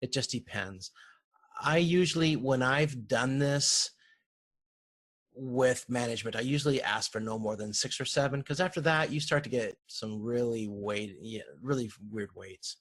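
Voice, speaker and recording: A man lecturing informally over slides, explaining in a halting way and often correcting himself. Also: mid-range voice, a bit bright. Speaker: university lecturer.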